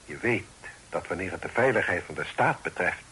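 Solemn dad magistrate in an old radio play, very husky, lively pitch swings. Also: male voice, exaggerated voice, low-quality recording. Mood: grave, probing. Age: middle-aged. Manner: measured, solemn, formal. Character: grave, dignified father and chief magistrate. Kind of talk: Dutch radio play acting.

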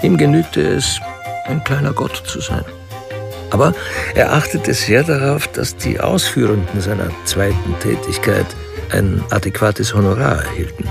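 An actor as the detached, ironic narrator of a crime story, reading scripted literary narration in a radio play. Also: male voice, lowish voice, normal speed, creaky. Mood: detached, measured. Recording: music behind the voice.

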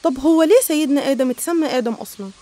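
A woman's voice: high-pitched, rapid-fire, dark tone. A woman with an Egyptian accent narrating scripted religious stories in an explanatory, didactic manner.

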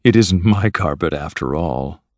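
A man voicing an aloof, insolent talking tomcat with a calm, cold manner in a storybook reading. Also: sits low, a little slow, dry recording. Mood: indifferent. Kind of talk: storybook character voice.

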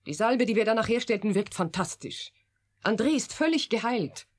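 Eine Schauspielerin spielt in einem deutschen Hörspiel eine stolze, besorgte und entschlossene Wissenschaftlerin, ernst und mit Autorität. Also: female voice, fairly high pitch, expressive, moderate pace. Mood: earnest, troubled. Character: proud, troubled, resolute female scientist. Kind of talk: German radio drama acting.

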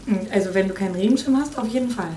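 A woman's voice: mid-range voice, very fast, mellow, reverberant, far from the mic, a little hiss or hum, lightly acted. An actress playing a frank, teasing woman on a date, talking in a dry, casual way in an audio drama. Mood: relaxed, curious. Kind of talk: naturalistic audio drama acting.

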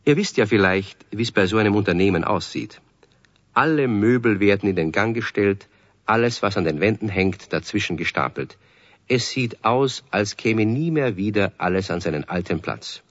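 A man's voice: fairly low pitch, normal speed, no echo, natural voice. A man narrating a scripted children's tale as a genial storyteller in a 1970s radio play. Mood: storytelling. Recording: dull dry vintage radio recording.

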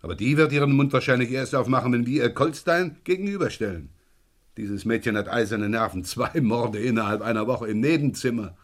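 An actor playing a middle-aged police inspector in a German radio crime drama, gruff, probing and impatient. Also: male voice, mid-range voice, fairly quick, lightly acted. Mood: brisk, impatient. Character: brisk, probing French police inspector, the boss.

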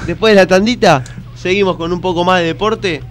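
A man's voice: sits high, brisk, over music. A man hosting a comedy radio show, playing the straight man and steering the interview.

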